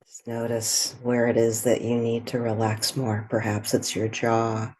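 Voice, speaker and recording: A woman leading a guided meditation in a gentle, soothing way, with a soft, breathy voice and long pauses between short phrases. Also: a bass voice, monotone, a little hiss or hum, rough recording.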